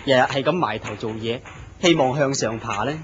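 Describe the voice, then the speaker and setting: A man's voice: medium pitch, moderate pace, a bit bright, natural voice. A man voicing a flustered office worker who has been struck by a beautiful woman, earnest in a radio drama. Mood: dazed. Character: dazzled lovestruck male office worker. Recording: muffled lo-fi recording with hiss.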